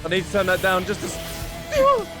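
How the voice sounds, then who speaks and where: A man giving live gameplay commentary as a streamer, excitable and often repeating his words in quick bursts. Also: sits high. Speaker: gaming streamer. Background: loud game music.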